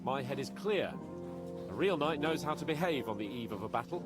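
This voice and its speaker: A man's voice: mid-range voice, lively intonation, a little fast, loud music behind the voice, close to an everyday voice. An actor playing a proud, skeptical young nobleman in a game cutscene, sardonic and mock-polite. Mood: sarcastic, proud. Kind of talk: video game cutscene acting.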